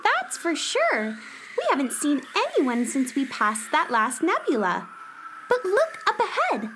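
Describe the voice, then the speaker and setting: A woman's voice: high voice, wildly swooping pitch, unhurried, noisy background, full character voice. A woman voicing a curious, wide-eyed little space cowgirl in a children's audio drama.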